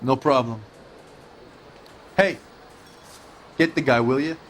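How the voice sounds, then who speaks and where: A man's voice: mid-range voice, slow pace, fairly bright tone, slight background noise. An actor playing a world-weary male bartender in a 1940s detective video game, talking in a casual, slangy way. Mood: frank, wry. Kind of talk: scripted dramatic game acting.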